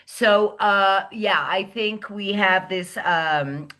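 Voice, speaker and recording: Slow, bright-voiced woman searching for words. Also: medium pitch. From United States. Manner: slow, searching for words, many fillers and restarts. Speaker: interview guest. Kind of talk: casual interview talk.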